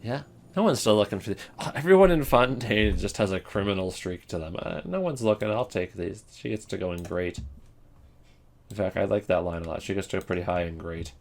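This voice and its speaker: Lowish-voiced man rambling through commentary. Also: expressive, brisk. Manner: rambling, self-deprecating, jokey. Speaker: video creator ranking game voice lines. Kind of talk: casual off-the-cuff commentary.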